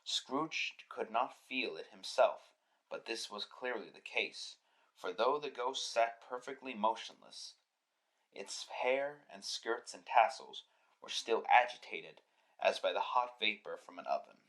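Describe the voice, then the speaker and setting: A man's voice: on the low side, expressive, measured pace, a bit bright. A man narrating a story in dramatic, scripted classic radio style.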